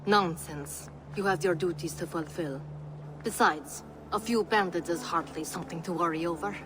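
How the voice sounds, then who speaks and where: A low, dry-voiced Norse woman played by an actress, capable and sardonic. She speaks formally and measuredly in game dialogue, with some background noise. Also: normal speed, close to an everyday voice. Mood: weary, dismissive. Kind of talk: dramatic video game dialogue.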